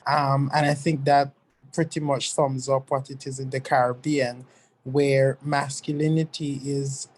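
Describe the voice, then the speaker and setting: A man from Antigua and Barbuda with an Antiguan accent and a soft voice, speaking as a webinar panelist in a thoughtful, measured way with frequent ums. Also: fairly high pitch, rough recording. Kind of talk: panel discussion on a video call. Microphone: video call.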